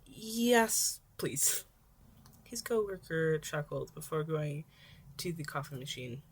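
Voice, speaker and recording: Low-pitched woman reading a story aloud. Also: lively intonation, normal speed, breathy edge. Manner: stumbles and rereads words. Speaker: fan fiction reader. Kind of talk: amateur audiobook-style story narration.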